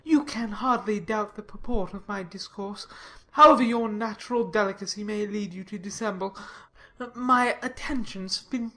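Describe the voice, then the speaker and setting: A woman's voice: medium pitch, normal speed, bright, forceful tone, natural voice. A woman playing a solemn, self-satisfied clergyman in an audiobook drama, speaking in a pompous, formal, long-winded way. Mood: pompous, self-assured.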